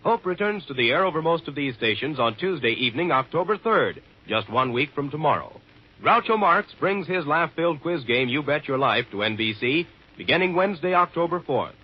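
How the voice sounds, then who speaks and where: Animated, husky 1950s radio announcer man. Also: fairly high pitch, brisk. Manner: showy, upbeat salesmanship. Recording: muffled 1950s radio recording.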